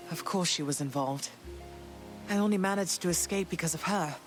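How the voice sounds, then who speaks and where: A woman playing a gentle, dignified long-lost mother goddess in a video game, speaking formally and with feeling. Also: on the low side, a little fast, over music, close to an everyday voice. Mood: moved, tender. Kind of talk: dramatic video game acting.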